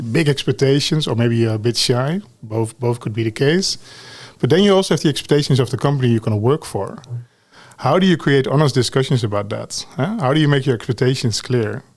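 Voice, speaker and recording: A Dutch man in his forties with a Dutch accent, a guest on a podcast, talking in a relaxed way and ending sentences with "huh?" and "eh?". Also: mid-range voice. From Netherlands. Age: 40s. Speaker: guest, talent lead. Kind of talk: podcast conversation.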